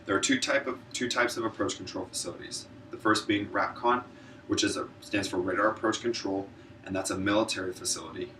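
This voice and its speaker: A man's voice: lowish voice, steady tone, a little fast, bright, forceful tone. A man delivering a prepared informative speech in an earnest presenter's manner, stumbling now and then over his words. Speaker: student public speaker, military air traffic controller. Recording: distant mic, noisy room ambience.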